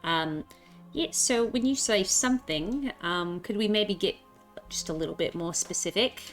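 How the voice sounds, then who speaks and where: A woman's voice: mid-range voice, a little slow, soft background music. Congested woman tabletop player. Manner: hesitant, lots of fillers, giggly. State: congested from a cold. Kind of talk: casual tabletop roleplay chat.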